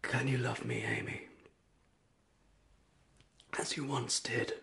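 An actor playing an unwell, estranged husband in a period radio drama, earnest and formally spoken. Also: male voice, mid-range voice, flat delivery, slow pace, creaky, pushed and bright, exaggerated voice. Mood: pleading. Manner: earnest, formal old-fashioned phrasing. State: unwell with a chill and congested chest. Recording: noisy track with hiss and clicks.

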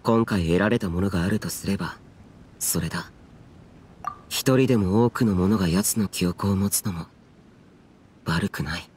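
An actor playing a stern, reserved guardian warrior in a game cutscene, speaking formally in a measured, restrained and archaic way. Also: male voice, deep voice, a little mellow, a little hiss or hum, natural voice. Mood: quietly resolved. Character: stern, stoic yaksha guardian, reserved and grave. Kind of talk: dramatic game cutscene dialogue.

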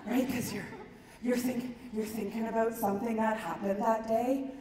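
A middle-aged woman giving a stand-up comedy talk to an audience, emphatic and conversational, with dramatic pauses. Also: on the high side, steady tone, crowd noise. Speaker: comedian, keynote speaker. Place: large conference ballroom stage. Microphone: handheld microphone. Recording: echoey hall PA, some noise.

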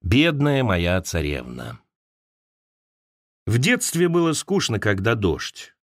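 A man narrating literary essays as an audiobook, expressively, with dramatic pauses and turns between wry and wistful. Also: fairly low pitch, very animated, no room sound, soft background music. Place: close, dry studio recording.

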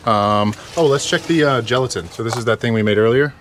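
A man's voice: mid-range voice, a little fast, noisy background. A young man in his twenties hosting a casual vlog from inside a camper van, talking into a handheld action camera and sounding eager and easily impressed. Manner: enthusiastic, easily impressed, laughs often. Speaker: vlogger host. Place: inside a camper van kitchen, parked outdoors. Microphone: action camera held at arm's length.

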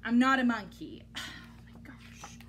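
A woman's voice: a little high, animated, measured pace. A woman in her thirties reading a picture book aloud to children like a teacher, with exaggerated, theatrical, playfully exasperated delivery. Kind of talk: animated children's story read-aloud. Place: small indoor room at home. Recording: noticeable background noise, home recording.

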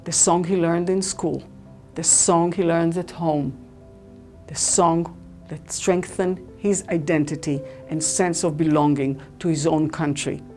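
A woman in her sixties with an Israeli accent, talking to camera on location outdoors in a lively, enthusiastic way. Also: low-pitched, unhurried. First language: Hebrew. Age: 60s. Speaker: presenter. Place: outdoors in a large city square. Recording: clear background music.

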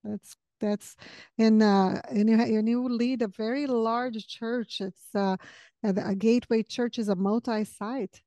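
Brazilian lady hosting a chatty interview, highish voice, really dry close mic sound. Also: steady tone, a little slow, mellow, no echo. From southern Brazil. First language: Portuguese. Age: middle-aged. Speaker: podcast host. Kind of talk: conversational interview. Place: home study with bookshelves, video call. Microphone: podcast microphone on boom arm, close.

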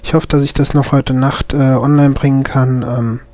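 A man with a slight cold, commentating casually on a game as host, often pausing to search for words. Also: medium pitch, flat delivery, darkish tone, no room sound. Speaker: gaming video host.

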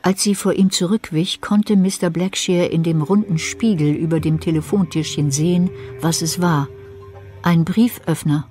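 A woman narrating a dark crime radio play in a composed, scripted style. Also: on the low side, steady tone, moderate pace, soft background music, natural voice.

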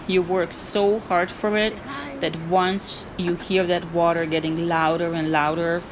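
A woman's voice: low voice, noisy background. A woman narrating her hiking vlog in a casual, earnest, encouraging way, with small restarts. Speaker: hiking vlogger.

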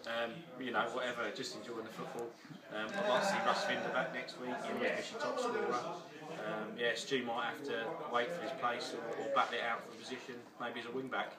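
A man's voice: medium pitch, fast, far from the mic, a loud crowd. A young English man, a football player, complaining bluntly about teammates in a dry, sweary way. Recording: roomy, from off mic, voices around.

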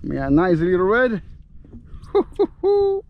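Man, fishing vlogger, high lively voice, excitable and laughing, action-camera sound with wind and water noise. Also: slow, muffled recording. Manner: excitable, laughing, talks to the fish. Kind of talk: casual play-by-play while fishing. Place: outdoors on a small boat in a mangrove bay.

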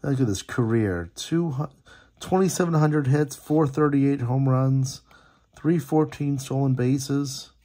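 A man with a gravelly, husky voice giving casual, chatty, appreciative running commentary as he hosts a card-opening video at a table indoors. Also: medium pitch, slow, mellow. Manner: chatty, appreciative, reading names aloud. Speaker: host opening sports card packs.

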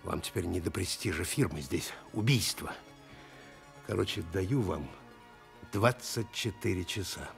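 An actor playing a stern, blunt police investigator in a radio drama, authoritative and threatening, with a gravelly voice. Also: male voice, low voice, lively intonation, over music, exaggerated voice. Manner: slow, weighty, authoritative.

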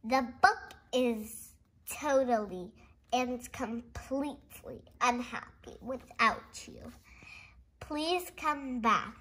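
A young girl hosting a read-along, reading a picture book aloud and reacting chattily in a giggly, excitable way. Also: fairly high pitch, very slow. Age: child. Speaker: host of a read-along video. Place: indoors at a dark wooden table. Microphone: camera pointed down at the book.

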